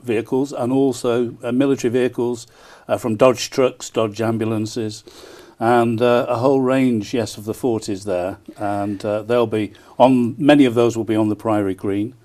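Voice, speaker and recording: Male interview guest, town crier type: soft-edged mellow tone, middling pitch, keen promoter with frequent ers. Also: fairly even intonation, normal speed. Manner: enthusiastic, frequent hesitant ers. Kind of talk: promotional broadcast interview answers.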